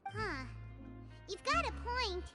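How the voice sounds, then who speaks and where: A woman voicing a tiny, chirpy, blunt childlike female fairy companion in an anime video game, with a squeaky voice. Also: very animated, takes it slowly, heavily acted. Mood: curious. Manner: refers to self in third person, exclaiming. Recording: music playing behind.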